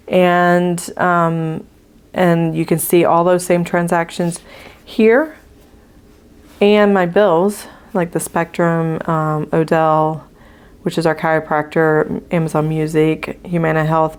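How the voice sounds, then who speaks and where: Hoarse, gravelly woman chatting about budgets. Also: lowish voice. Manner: chatty with frequent ums, talking while writing. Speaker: budgeting channel host. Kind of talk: casual walkthrough of budget sheets.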